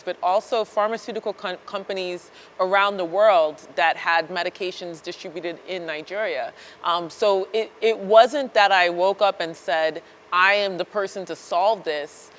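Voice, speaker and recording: A woman's voice: on the low side, fairly bright tone, a little hiss or hum. Earnest woman. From United States (Chicago area). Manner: earnest and reflective, many fillers and restarts. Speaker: startup founder, guest. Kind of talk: interview answers.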